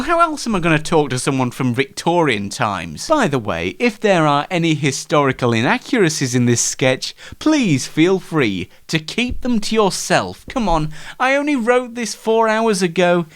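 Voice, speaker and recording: A man hosting a comedy show in a casual, self-deprecating and halting way. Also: fairly high pitch, big pitch swings, a bit bright. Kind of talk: casual, scripted comedy interview and chat.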